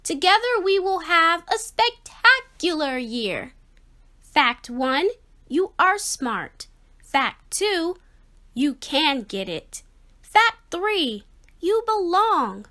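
Woman as a high-voiced kindly lady fish teacher. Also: big pitch swings, drawn-out words, heavily acted. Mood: cheerful, encouraging. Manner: soft, reassuring, encouraging. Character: kind, encouraging female fish schoolteacher.

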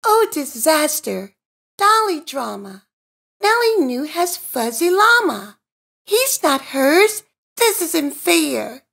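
A woman's voice: sits high, sing-song, takes it slowly, creaky, fairly bright tone, voice pushed up, heavily acted. A woman voicing a fretful, possessive young llama boy in a children's storybook, whining dramatically. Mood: upset, indignant. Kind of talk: children's storybook character reading.

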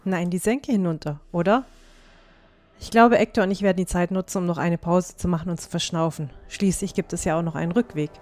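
A woman's voice: lowish voice, fairly even intonation, brisk, a little mellow, close to an everyday voice. A woman playing a genteel, curious young traveller in a fan-made fantasy audio drama. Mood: curious, assured.

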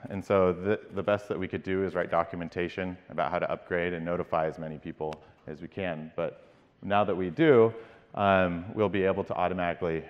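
Low-voiced man giving a keynote in a hall. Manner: measured, with frequent filler ums and restarts. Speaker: company CEO giving a keynote. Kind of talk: conference keynote presentation. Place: large dark conference stage. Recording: dull-toned keynote audio with long hall reverb.

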